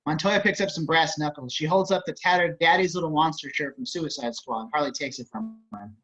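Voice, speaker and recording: Male narrator, highish voice, brisk, scripted stage directions, muffled call audio. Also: fairly bright tone. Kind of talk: scripted narration for a table reading. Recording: dull video-call audio.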